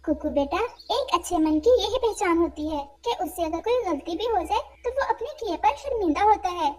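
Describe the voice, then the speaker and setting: A wise mother sparrow from a children's cartoon, voiced by a woman. She explains things gently and soothingly in a very high voice with lively pitch movement. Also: normal speed. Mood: gentle.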